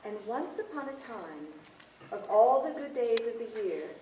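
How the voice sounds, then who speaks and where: A woman's voice: a little high, very animated, measured pace, dark tone. A woman narrating a story in a theatrical, emphatic scripted style. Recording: echoey distant stage recording, faint noise.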